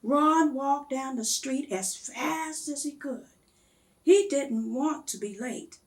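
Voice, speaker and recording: A woman reading a children's story aloud in a measured, expressive storytelling manner. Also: high voice.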